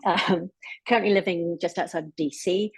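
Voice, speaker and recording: A woman's voice: on the low side, fairly even intonation, normal speed, vocal fry, slightly rough, dry recording, rough recording. A woman from Cornwall with an English accent, a netball coach, introducing herself casually and self-deprecatingly on a video call. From England, Cornwall.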